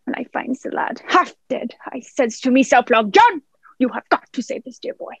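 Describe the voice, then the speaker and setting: A woman's voice: high voice, expressive, normal speed, husky, no room sound, heavily acted. A young woman playing a sly, commanding male pirate in a radio play, speaking in salty seafaring dialect. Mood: wheedling. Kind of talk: stage-style radio play acting.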